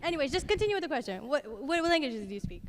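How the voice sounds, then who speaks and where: A young woman with a slightly high voice asks stage questions, rambling and full of fillers. Her words carry long hall reverb. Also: big pitch swings, rapid-fire, slight background noise. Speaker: student co-host. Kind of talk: asking interview questions on stage. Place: school assembly hall.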